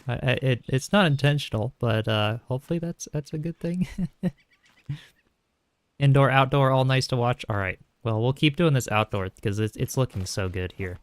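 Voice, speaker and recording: A young man streaming a building game, talking calmly and softly while reading chat aloud, with frequent small chuckles. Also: mid-range voice, dry recording. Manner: soft-spoken, calm, chuckles often, reads chat aloud. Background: faint game music.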